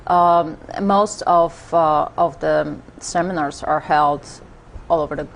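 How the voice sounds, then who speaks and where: A woman from Poland with a Polish accent, a spiritual teacher talking in an interview, halting with many fillers and restarts. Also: low voice, gravelly, a little hiss or hum. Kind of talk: interview conversation.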